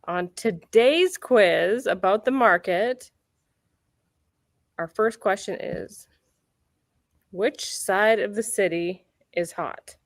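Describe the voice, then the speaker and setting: A woman's voice: mid-range voice, slow, pauses often, dry recording. Woman, host-realtor type, chatty and upbeat, dry close sound, mid-range voice. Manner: chatty, upbeat, self-correcting. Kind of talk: casual real estate chat. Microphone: computer mic near a keyboard.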